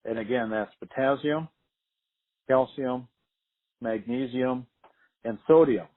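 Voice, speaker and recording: A man's voice: medium pitch, takes it slowly. A man presenting farm products on a conference call, explaining methodically and repeating key points. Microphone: telephone. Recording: tinny, narrow phone-line conference call.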